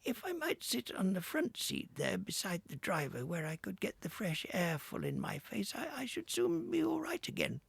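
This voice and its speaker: A high, crowing toad full of himself, boasting and showing off. The voice swoops widely and carries a gravelly, husky edge. Dry, close sound with no room around it. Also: male voice, huge pitch swings, normal speed, hardly any pauses, no echo, heavily acted. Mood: feeble. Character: vain boastful reckless male toad.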